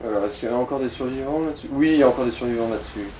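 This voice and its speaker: A man's voice: mid-range voice, very fast, a little mellow, echoey room, a little hiss or hum. A man giving casual, coarse and slangy gaming commentary as he guides another player. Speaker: let's play co-host guiding the player.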